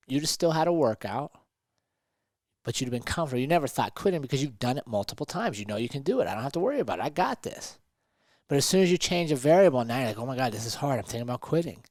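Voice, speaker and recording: A man's voice: medium pitch, a little mellow, dry recording, clean studio sound. A man co-hosting a motivational podcast, talking emphatically and often checking in with rhetorical questions. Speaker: podcast co-host.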